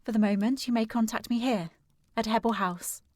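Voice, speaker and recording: Actress as earnest young gentlewoman, close dry sound. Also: a little high, normal speed, no echo, natural voice. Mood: composed, formal. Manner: formal, precise, insistent. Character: earnest, determined young gentlewoman worried for missing sister. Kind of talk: period audio drama acting.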